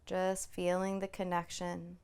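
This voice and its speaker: Low-voiced woman guiding a meditation. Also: monotone, clear, resonant tone. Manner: soothing, unhurried with long pauses; gently permissive, reassuring. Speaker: meditation instructor. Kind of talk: guided body scan meditation.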